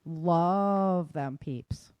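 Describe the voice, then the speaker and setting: A woman's voice: lowish voice, extremely slow, smooth, dry recording, dull audio. A woman hosting a casual talk podcast, explaining advice in an emphatic, colloquial way and often checking in with "right?". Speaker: podcast host.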